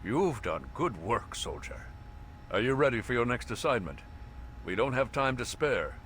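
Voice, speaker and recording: An actor playing a stern, composed military officer who gives mission briefings in a measured, formal, authoritative way. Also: male voice, mid-range voice, big pitch swings, fairly bright tone, slight background noise. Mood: businesslike, urgent.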